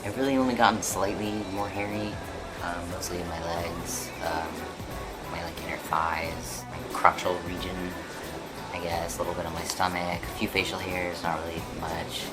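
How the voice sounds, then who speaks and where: A young man chatting casually to his camera at home, keeping his voice hushed and half-whispered, with music playing behind. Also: low-pitched, flat delivery, moderate pace. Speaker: vlogger. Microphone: camera mic on a shaky setup.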